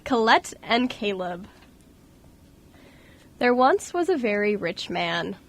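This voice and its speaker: A woman's voice: mid-range voice, sing-song, slow. A woman reading scripted story narration as narrator of an amateur radio play. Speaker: radio show co-host and narrator.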